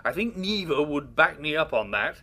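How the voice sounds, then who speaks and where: Guy as a pushy mutinous space officer, high voice that jumps around, self-righteous, arguing. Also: very animated, moderate pace, sharp tone, heavily acted. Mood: pleading, indignant. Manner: insistent, argumentative. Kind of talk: audio drama acting. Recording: faint background noise and ambience.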